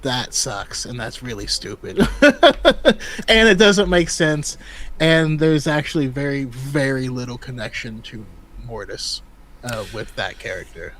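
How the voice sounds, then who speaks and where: A man's voice: medium pitch, lively intonation, unhurried, a bit bright. A man co-hosting a casual livestream chat, opinionated, stammering through restarts and laughing a lot. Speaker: podcast co-host.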